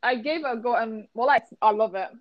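A woman's voice: on the high side, a little fast, no room sound, highs cut off. A woman in her twenties from Ireland with a neutral, slightly American-tinged accent, chatting reflectively about university life with a friend. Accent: neutral, slightly American-tinged Irish English. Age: 20s. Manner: chatty, reflective, with many fillers. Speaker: university student. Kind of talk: casual chat between friends.

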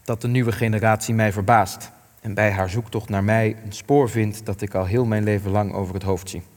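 An actor playing a weary, grave old resistance veteran in a radio play, speaking in solemn, measured phrases with long reverb. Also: male voice, lowish voice, fairly even intonation, close to an everyday voice. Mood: solemn, searching. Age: older. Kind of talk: Dutch radio play acting.

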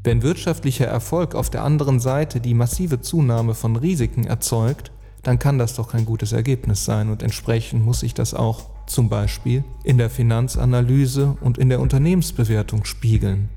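A man narrating an earnest, reflective spoken essay, phrasing deliberately and emphatically with rhetorical weight. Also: mid-range voice, fairly even intonation, vocal fry, dark tone, breathy edge. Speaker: speaker and author on climate and sustainability. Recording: long reverb, music underneath. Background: music.

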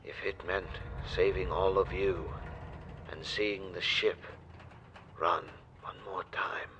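An actor in an audio drama playing a grave, weary old ship's builder who speaks solemnly and formally. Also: male voice, low-pitched, steady tone, a bit bright, lo-fi sound, a little hiss or hum. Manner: solemn, formal, measured phrasing.

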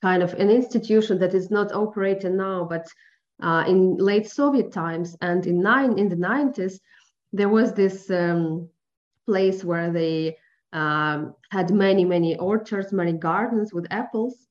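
A Ukrainian woman journalist, a guest speaking over a phone line, choosing her words carefully with frequent pauses and fillers. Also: mid-range voice. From eastern Ukraine. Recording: dull phone-line audio.

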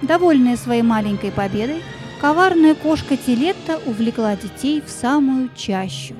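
A woman narrating a children's story in a measured, expressive way, drawing out words for dramatic effect. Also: a little high.

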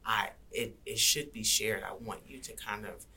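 A woman speaks earnestly and reflectively in an interview, her voice gravelly and low yet bright and forceful. She sometimes drops to soft, near-whispered asides. A faint hiss of background noise sits under her voice. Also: steady tone, moderate pace. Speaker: guest rapper and activist. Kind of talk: conversational interview.

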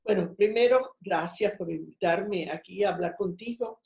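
A woman's voice: mid-range voice, normal speed, creaky, darkish tone, echoey room. A Cuban-born older woman, a guest on a call, telling her life story in a rambling, personal way with many restarts. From Cuba. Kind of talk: casual interview over a call.

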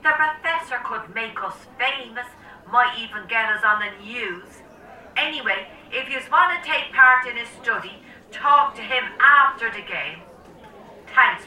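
Audio drama: brassy Dublin bingo caller, very sharp tone, unhurried announcing, echoey hall, slightly distant. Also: female voice, a little high, exaggerated voice. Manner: showy announcing. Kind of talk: radio drama acting.

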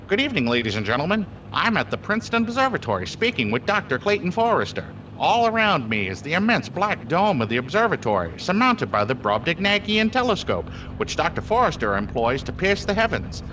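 A man's voice: a little high, sing-song, a little fast, few pauses, lo-fi sound, background noise. A man playing an earnest, florid field reporter in a 1930s radio drama spoof, with grandiloquent, formal delivery.

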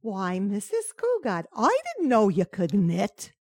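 A woman's voice: medium pitch, wildly swooping pitch, measured pace, a little mellow, faint music behind. A woman playing a naive but cheeky household maid in an old-time radio sitcom, with guileless comic questions and remarks. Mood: puzzled, guileless.

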